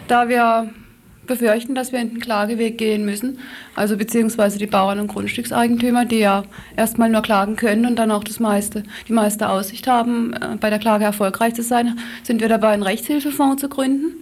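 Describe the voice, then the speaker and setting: A woman interviewed on radio as a campaign activist, explaining things spontaneously and haltingly, correcting herself as she goes. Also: on the high side, steady tone, darkish tone.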